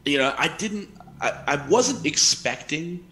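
A man's voice: on the high side, expressive, a little slow, bright, forceful tone, a little hiss or hum. A middle-aged man co-hosting a show with casual commentary, speaking into a headset mic. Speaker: co-host of an anime news show. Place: indoors on a video call.

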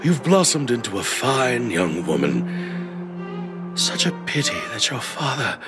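An actor playing a courteous, kindly elderly gentleman in a video game, formal and fatherly in manner. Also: mid-range voice, big pitch swings, slow pace. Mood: fond, hesitant. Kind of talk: scripted video game dialogue. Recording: music behind the voice.

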